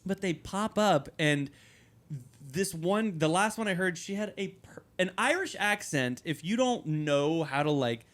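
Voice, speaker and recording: A man's voice: sits high, lively intonation, measured pace, a bit bright. A young man co-hosting a podcast, telling rambling stories in casual chat and swearing freely. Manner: crude, rambling storyteller with frequent swearing. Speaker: podcast co-host.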